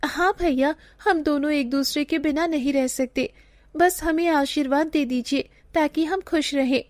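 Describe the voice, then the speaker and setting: A woman voicing a gentle, anxious young village bride who speaks earnestly and pleadingly in a cartoon story. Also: high voice, a little slow, dry recording, pitched above the performer's own voice. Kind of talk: Hindi animated moral story dialogue.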